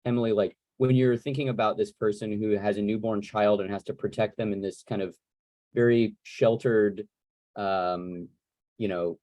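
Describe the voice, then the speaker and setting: A man moderating a casual Q&A on a video call, talking in a wordy, rambling, animated way. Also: lowish voice, no room sound. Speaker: moderator of a panel Q&A. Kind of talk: casual conversational interviewing.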